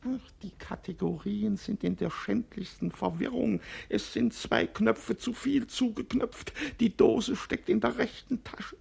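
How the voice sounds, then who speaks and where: Raspy gravelly old king, pompous and flustered, swings up and down a lot. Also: high voice, sing-song, a little slow, hardly any pauses, a little mellow, heavily acted. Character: muddled pompous old king fussing over dressing. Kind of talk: 1950s radio play acting.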